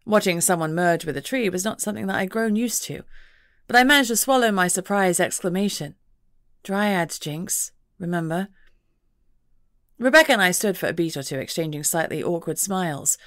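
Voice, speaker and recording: A woman with a fairly low voice reads first-person fantasy fiction as an audiobook narrator. The recording is very dry, with no room around her. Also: a bit bright, no echo.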